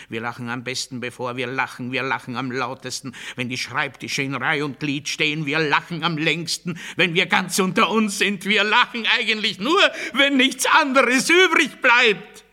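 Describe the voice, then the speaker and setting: Pompösen, fanatischen Redner mit scharfer Stimme. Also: male voice, mid-range voice, fairly even intonation, normal speed, hardly any pauses. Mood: controlled, measured. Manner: pompous, emphatic, swinging from hushed to strident. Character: fanatical militaristic male orator declaiming rigid dogma. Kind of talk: German radio play monologue, oratorical declamation.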